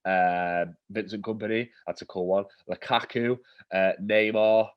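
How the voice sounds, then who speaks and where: A man's voice: fairly low pitch, steady tone, measured pace, clear, resonant tone, lo-fi sound. A man co-hosting a casual chat, bunged up and sniffly with a cold. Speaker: co-host.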